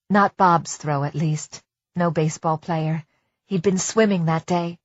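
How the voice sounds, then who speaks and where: Female audiobook narrator for scripted fiction, medium pitch, very dry close sound, slightly dull recording. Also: no room sound.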